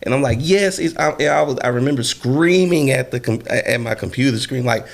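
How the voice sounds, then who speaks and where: A man hosting a casual podcast interview, telling stories in an animated way with many fillers and loud bursts. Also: medium pitch, a little fast, gravelly. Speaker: podcast host.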